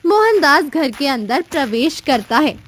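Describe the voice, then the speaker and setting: A woman's voice: high-pitched, sing-song, a little slow, slight background noise. A woman giving scripted storybook narration as the narrator of a cartoon tale.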